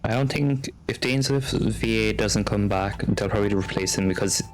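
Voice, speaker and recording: A man's voice: fairly low pitch, moderate pace. A man streaming a video game and giving casual reaction commentary. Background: game music.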